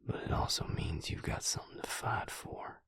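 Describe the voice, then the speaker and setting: A man playing a protective, weary but tender vampire mate in an intimate audio roleplay, speaking in a hushed, breathy, gravelly voice with a deliberate, reassuring manner. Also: in a whisper, close to an everyday voice. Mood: tender, determined.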